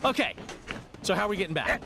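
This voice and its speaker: An actor playing a wisecracking space adventurer in an animated video game, casual and joking. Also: male voice, high-pitched, fast talker, creaky, full character voice. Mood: relieved, jokey. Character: breezy, wisecracking male space adventurer leader.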